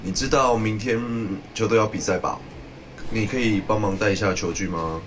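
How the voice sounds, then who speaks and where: A man's voice: lowish voice, normal speed, noisy background, close to an everyday voice. An actor playing an earnest young male student ballplayer in a radio drama. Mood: polite request.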